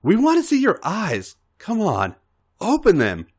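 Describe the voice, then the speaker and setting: A man's voice: sits high, wildly swooping pitch, measured pace, slightly rough, voice pushed up, heavily acted. A man voicing a confident, coaxing younger male wrestler with an insistent, teasing manner in a story reading. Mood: urging.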